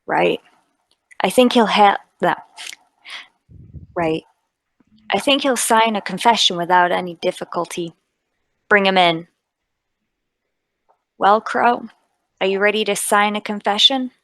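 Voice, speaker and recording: A woman playing a brisk, authoritative, businesslike Scotland Yard police inspector in a mystery radio play table read. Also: mid-range voice, a bit bright, no echo.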